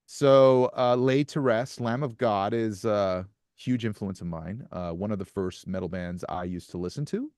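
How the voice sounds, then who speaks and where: Low-voiced man in his thirties. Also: smooth, dry recording, clean studio sound. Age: 30s. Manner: soft-spoken, halting with restarts and chuckles. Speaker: musician guest. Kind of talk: casual interview chat.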